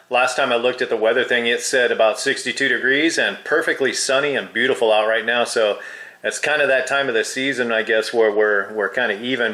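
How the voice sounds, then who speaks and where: A man's voice: medium pitch, sharp tone. A man who is a hobby radio operator, chatting casually with radio jargon.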